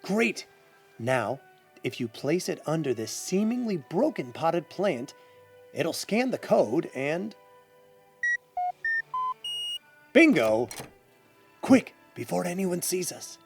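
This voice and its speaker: An actor playing a brisk, guarded, confident adventurer and heir in an audio adventure drama, with theatrical, expository delivery. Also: male voice, fairly high pitch, sing-song, gravelly, over music, exaggerated voice. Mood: eager, urgent.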